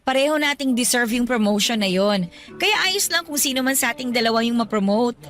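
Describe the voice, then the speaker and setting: A modest young Filipino office worker, high in pitch. She speaks in an earnest, slightly anxious and supportive way in a radio drama, over faint music. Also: animated, fairly quick, fairly bright tone. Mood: modest, reassuring.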